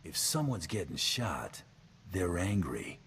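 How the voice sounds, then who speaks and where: Very deep, terse bounty hunter. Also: male voice, big pitch swings, takes it slowly, a bit bright, heavily acted. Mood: dry. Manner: terse, dry, threatening. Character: tough, laconic male bounty hunter, dryly menacing. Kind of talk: video game voice acting. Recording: long hall reverb, background noise.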